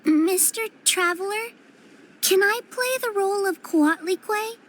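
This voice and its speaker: A woman's voice: very high-pitched, unhurried, fairly bright tone. A woman voicing a polite, earnest, frail little child in a video game. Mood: shy, hopeful.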